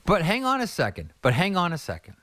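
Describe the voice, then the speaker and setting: A man's voice: high-pitched, wildly swooping pitch, fast talker, a bit bright, no room sound. A man with a nasal voice hosting a podcast, playful and enthusiastic in casual chat. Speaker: podcast host.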